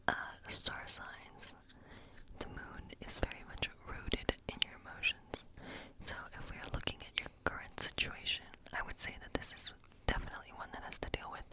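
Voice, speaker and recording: A woman speaks in a breathy, unvoiced whisper, as in an ASMR fantasy role play. She gives a tarot reading in a gentle, unhurried way, explaining things in short phrases with pauses between them. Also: rough recording.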